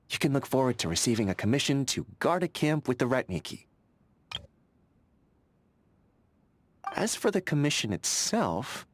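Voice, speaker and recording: Earnest, animated young fantasy sentry. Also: male voice, medium pitch. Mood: friendly, wry. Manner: earnest, conversational, methodical. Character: earnest, vigilant young male fantasy sentry, friendly but overcautious. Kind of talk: video game character dialogue.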